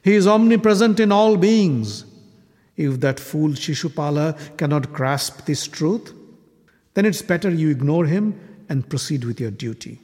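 A man voicing a wise, dignified old warrior and grandsire who speaks gravely and reassuringly. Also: a little high, expressive, unhurried, a little mellow, voice pushed up. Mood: solemn. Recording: long hall reverb.

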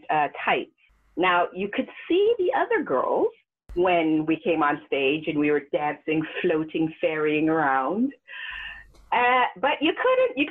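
A woman who grew up in Western Canada, telling stories as a guest performer in a casual interview, laughing often and jumping up high when she quotes people. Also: breathy edge. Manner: animated, laughs often, jumps into high pitch for quoted voices. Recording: phone-like narrow sound.

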